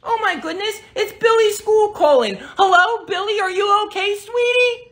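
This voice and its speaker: A woman's voice: very high voice, lively intonation, normal speed, fairly bright tone. A woman playing a strict but doting mother of boys in a family comedy skit, speaking emphatically. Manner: emphatic, gushing or scolding.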